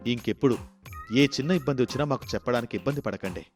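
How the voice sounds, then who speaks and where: A man's voice: medium pitch, fast, soft background music, lightly acted. A man voicing a caring, dutiful grown son with an earnest, pleading manner in a moral story. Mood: tender pleading.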